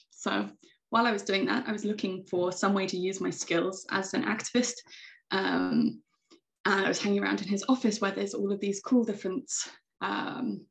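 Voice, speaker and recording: Gravelly-voiced British young woman. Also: mid-range voice, rough recording. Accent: British English. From Britain. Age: young adult. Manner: enthusiastic, chatty with many ums. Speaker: secretary general of a youth organization. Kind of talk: podcast interview answers.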